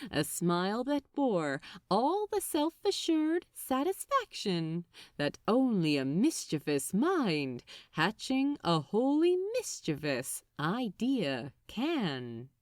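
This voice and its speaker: A woman narrates fiction in a theatrical storybook style. Her pitch swings dramatically and she phrases slowly and deliberately. The recording is very dry, with hardly any room sound. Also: sing-song, darkish tone, no room sound.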